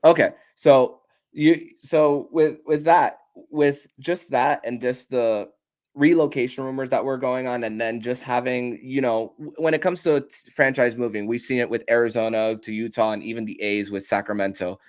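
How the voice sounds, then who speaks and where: A man's voice: mid-range voice, steady tone, normal speed. This is a man with a mid-range voice hosting a casual podcast interview. His questions run long and wander, with frequent restarts and repeated words. The tone stays conversational throughout. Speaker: podcast host.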